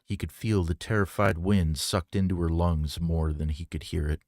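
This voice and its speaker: A man reading a novel aloud in a measured, dramatic storytelling manner. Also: low voice, fairly even intonation, darkish tone, no room sound, studio quality. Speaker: audiobook reader. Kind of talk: scripted fiction narration.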